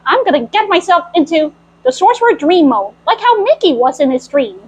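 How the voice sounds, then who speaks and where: Very high-pitched, playful woman storyteller. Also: big pitch swings, fairly quick, slight background noise, low-quality recording. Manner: childlike playful role-play as a cartoon mouse girl. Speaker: storyteller in costume. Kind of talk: in-character storytime reading and chat.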